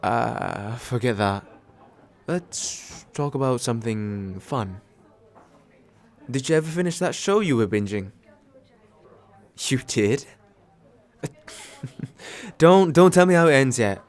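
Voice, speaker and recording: A man playing a nervous, kind guy on a second date, speaking haltingly and reassuringly in an ASMR comfort roleplay. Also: medium pitch, animated. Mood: friendly, playful. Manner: hesitant, soft-hearted, self-deprecating, halting with small pauses. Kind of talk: ASMR comfort roleplay, acted as casual chat.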